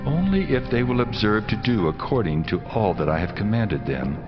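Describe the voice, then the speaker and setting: A man voicing a solemn, commanding God in a measured, declamatory dramatized scripture reading. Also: fairly low pitch, expressive, close to an everyday voice. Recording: long hall reverb, dull sound, music. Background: music.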